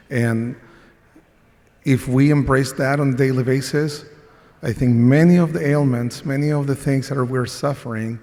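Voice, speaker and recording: Chilean man on a conference panel, earnest and reflective, mid-pitched voice, echoing hall. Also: a little mellow. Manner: reflective, earnest, with frequent restarts. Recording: long reverb of a conference hall.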